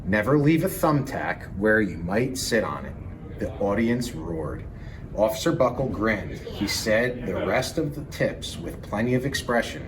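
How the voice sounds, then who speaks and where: A man with a fairly low voice reads a picture book with animated intonation. Noise is clearly audible behind him. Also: measured pace. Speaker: police officer reading a children's book aloud. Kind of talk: read-aloud picture book narration.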